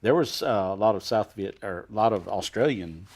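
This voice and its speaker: A man in his sixties hosting a TV studio interview, asking leading questions in a halting way with many pauses. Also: on the low side, a little mellow. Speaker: host.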